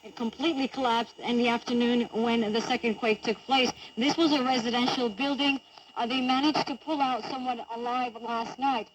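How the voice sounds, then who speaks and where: A woman's voice: fairly high pitch, fairly even intonation, normal speed, low-quality recording. A woman giving a live news report as a field correspondent, outdoors on location. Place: outdoors in a city centre.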